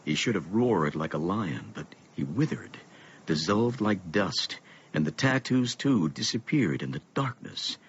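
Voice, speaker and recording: An actor playing a grave male storyteller, narrating a dark fantasy radio play in a husky voice. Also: sits low, very animated, measured pace, slight background noise, heavily acted. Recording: dull lo-fi audio.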